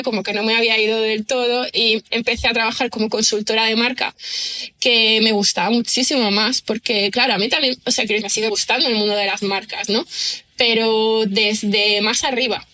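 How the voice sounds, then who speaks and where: A woman's voice: on the high side, bright, forceful tone. A woman, a writer chatting as an interview guest, rambling with many fillers. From Almería, Spain. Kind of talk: casual interview chat.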